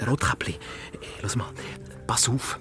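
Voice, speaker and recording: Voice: mid-range voice, expressive, a little slow, over music. An actor playing a naive, good-hearted hedgehog detective's sidekick with a Swiss German accent, eager yet hesitant, in a children's radio play. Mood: earnest, urgent. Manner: hesitant, artless, easily amazed.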